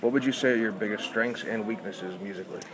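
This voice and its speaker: An interviewer working briskly through prepared questions at an outdoor event. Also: medium pitch, rough recording. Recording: crowd noise behind. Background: crowd chatter.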